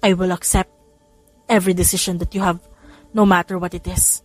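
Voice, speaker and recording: A woman's voice: fairly low pitch, little pitch movement, normal speed, faint music behind, natural voice. A woman voicing an earnest, hesitant young man in love in a romance audiobook. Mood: earnest confessing. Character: earnest lovestruck young man, tough but timid.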